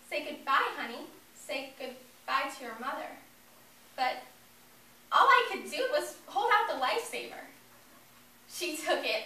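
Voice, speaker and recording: A woman's voice: high voice, bright, forceful tone. A young woman performing a dramatic stage monologue as a childlike, earnest daughter remembering her lost mother, telling it with emotion. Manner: earnest, emotional, theatrical storytelling. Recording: roomy, distant mic, faint noise.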